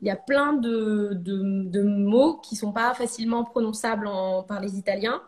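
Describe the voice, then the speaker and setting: A woman's voice: medium pitch, moderate pace. A French woman in her thirties, a ceramic artist, talking casually in a podcast interview, hesitant with many fillers and restarts. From France.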